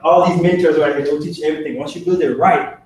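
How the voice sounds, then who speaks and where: A man's voice: a little high, steady tone, fast talker, darkish tone, slight background noise. A young man, a grad student, speaking animatedly at a business meeting, laughing and often asking "right?". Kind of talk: testimonial talk at a business meeting. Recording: echoey hall from far off.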